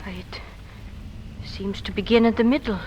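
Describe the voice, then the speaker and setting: A woman's voice: medium pitch, steady tone, a little slow, warm tone, muffled recording, close to an everyday voice. An actress playing an anxious, earnest lady in a dramatic 1940s radio melodrama. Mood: tense. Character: anxious, earnest young lady of a troubled household. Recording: noisy old radio recording.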